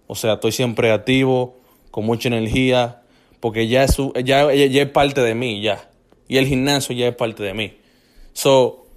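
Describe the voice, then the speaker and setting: A man from the Republic, hosting a casual motivational fitness podcast, talking loosely, repeating himself and dropping in English fillers. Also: medium pitch. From Dominican Republic. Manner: loose and repetitive, restarting phrases, mixing in English fillers.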